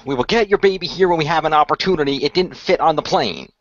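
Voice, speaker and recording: A man's voice: fairly high pitch, quick pace, no room sound, lo-fi sound. A man improvising dialogue as a role-play player, sounding exasperated and in charge. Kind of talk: improvised in-character dialogue, casual.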